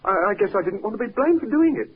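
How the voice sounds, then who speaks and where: Scared farmhand lad: high, husky, stammering, defensive, old radio melodrama on a tinny recording. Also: male voice, sing-song, rapid-fire, full character voice. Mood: panicked, defensive. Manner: stammering, panicky protesting. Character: frightened young hired boy, defensive and flustered. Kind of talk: 1950s radio drama acting. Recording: muffled 1950s radio recording.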